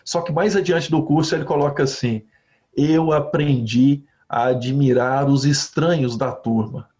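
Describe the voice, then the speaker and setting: A man from Brazil, a university professor, answering interview questions with animated stories, often ending phrases with a questioning tag. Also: medium pitch, a little slow. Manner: animated storytelling with frequent "né?" tags. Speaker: guest professor. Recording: slightly dull lo-fi audio.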